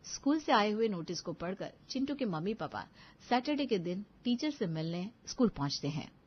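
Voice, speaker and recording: A woman narrates a family moral story in a mid-pitched voice. She reads scripted lines as a storyteller. The recording is slightly dull and lo-fi. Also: very animated, normal speed, breathy edge. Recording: dull lo-fi audio.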